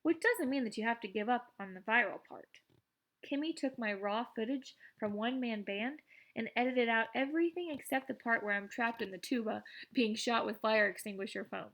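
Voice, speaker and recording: An excitable teenage schoolboy voiced by a woman tells his own story in a fairly high voice. He is boastful yet self-deprecating, with a wry, conversational audiobook delivery that stays animated. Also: normal speed. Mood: amused, excited. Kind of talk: first-person audiobook narration.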